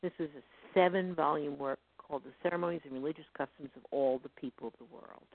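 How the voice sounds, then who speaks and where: Female academic guest, measured scholarly delivery, creaky timbre, phone-quality dry audio. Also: sits low. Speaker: history professor guest. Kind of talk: online radio interview. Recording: tinny phone line, dry.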